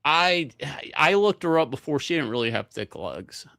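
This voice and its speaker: A man with a lively, swooping delivery and a close, dry sound, doing reaction commentary as a co-host. He talks casually in slang and swears freely. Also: fairly high pitch, normal speed, vocal fry, dry recording. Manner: profane, slangy. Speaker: livestream co-host.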